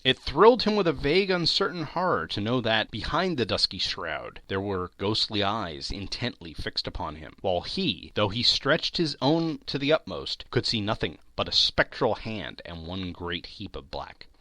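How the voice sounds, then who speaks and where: Guy narrating an audiobook, kinda low bright voice, very dramatic, deliberate phrasing, swoops around a lot. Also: big pitch swings, no room sound. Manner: theatrical, deliberate phrasing with emphatic word-by-word lists. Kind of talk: dramatic scripted literary narration.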